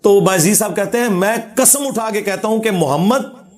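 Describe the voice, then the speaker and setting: High-pitched man, sardonic Pakistani Punjabi lecturer. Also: normal speed. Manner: argumentative, sardonic, quoting scripture. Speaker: religious lecturer. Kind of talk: informal religious rebuttal lecture.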